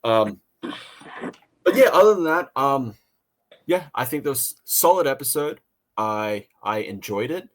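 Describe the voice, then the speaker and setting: A man's voice: mid-range voice, expressive, slow, pauses often, rough recording. A man co-hosting a casual review podcast, talking in a rambling way, repeating phrases and voicing his own thoughts.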